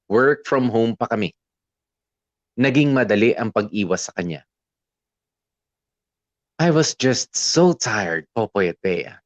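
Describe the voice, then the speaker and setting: A man's voice: on the low side, no room sound, lo-fi sound. A man playing a weary, fed-up boyfriend, narrating his breakup as a scripted confession with English mixed in. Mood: hurt, resigned, then exhausted. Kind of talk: scripted first-person radio drama narration.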